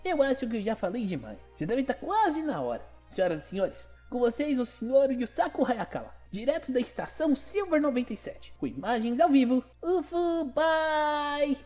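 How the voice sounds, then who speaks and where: A woman's voice: high-pitched, sing-song, moderate pace, few pauses, warm tone, soft background music. A Brazilian woman voicing a cute, childlike planetarium mascot in an audio drama, cheerful and chatty like a children's show presenter. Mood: reassuring, chirpy. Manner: bubbly children's presenter with a verbal tic.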